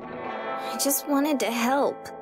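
A woman voicing a sweet, timid, naive young woman, gentle and hesitant, in a visual novel read-aloud. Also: sits high, steady tone, drawn-out words, voice pushed up. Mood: meek, subdued. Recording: loud music behind.

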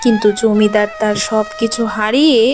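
A woman's voice: mid-range voice, normal speed. A woman narrating a scripted children's fairy tale as the storyteller. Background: music.

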